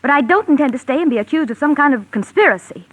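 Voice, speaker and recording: A woman's voice: sits high, expressive, fast talker, no echo. An American actress playing a proud, guarded young woman who is wary and indignant, in 1940s radio mystery style.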